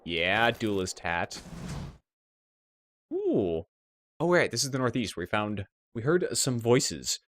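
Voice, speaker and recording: A man's voice: on the low side, huge pitch swings, slow, pauses often, a bit bright, no echo. A man doing a let's play, reading fantasy game story text aloud and adding casual commentary. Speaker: let's player.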